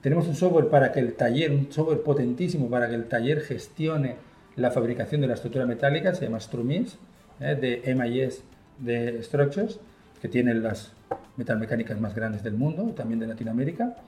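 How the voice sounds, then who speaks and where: Spaniard with a roomy echo. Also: male voice, mid-range voice, moderate pace, a little mellow. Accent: Spain Spanish. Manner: fluent, enthusiastic, frequent fillers. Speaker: guest, software company partner. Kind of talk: conversational interview. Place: office room.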